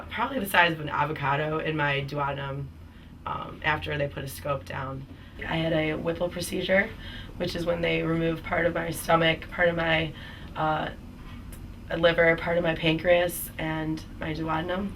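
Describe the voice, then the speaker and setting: A woman's voice: sits low, a bit bright, far from the mic, slight background noise, rough recording. A woman in her twenties telling her own cancer story in a casual, chatty way, with many fillers. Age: 20s. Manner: chatty, with frequent fillers and small laughs. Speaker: cancer survivor giving a testimonial. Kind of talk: casual personal testimonial.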